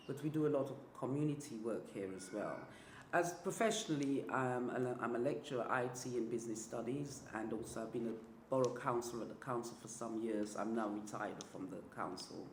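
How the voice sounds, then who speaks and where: A man in his sixties, a community activist, describing his background in a composed manner. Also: mid-range voice. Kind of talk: interview self-introduction. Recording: echoey room with noise.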